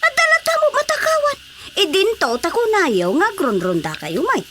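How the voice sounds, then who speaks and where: A woman's voice: very high-pitched, sing-song, normal speed, background noise. A woman playing a worried, nagging villager in a comic radio drama, with a scolding, exclamatory manner.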